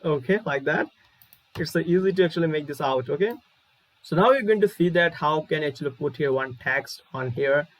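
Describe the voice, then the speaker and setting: A man's voice: fairly high pitch, a little fast. A man with a Bangladeshi accent giving an informal coding tutorial, thinking aloud step by step and repeating his phrases. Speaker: programming tutorial instructor. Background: keyboard typing.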